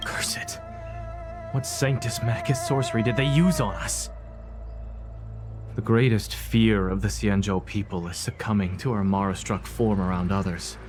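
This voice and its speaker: An actor playing a stern, embittered soldier in a fantasy video game, speaking formally and gravely. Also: male voice, lowish voice, normal speed, close to an everyday voice. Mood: anguished, vengeful. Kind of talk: video game character acting. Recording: loud music behind.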